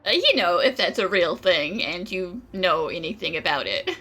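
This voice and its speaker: A woman's voice: on the low side, animated, moderate pace, fairly bright tone. A woman streamer giving casual, playful and sarcastic reactions while playing a game. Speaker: gaming streamer. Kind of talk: casual reaction commentary over gameplay.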